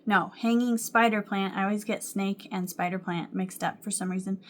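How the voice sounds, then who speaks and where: Young adult woman, casual at-home crochet vlogger, chatty with ums and small laughs, mid-pitched. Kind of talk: casual chat to camera.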